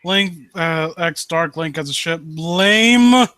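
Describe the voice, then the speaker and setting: A man's voice: a little high, slow, sharp tone, clean tone, dry recording, studio quality. A man hosting a live stream in casual chat, blunt and given to swearing. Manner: blunt, profane. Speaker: host of a live critique stream.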